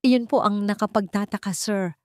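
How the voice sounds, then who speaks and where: A woman's voice: mid-range voice, moderate pace, darkish tone, dry recording, pitched above the performer's own voice, lightly acted. Deferential male aide acted by a woman, Filipino accent, polite and hesitant, dry audio-drama recording.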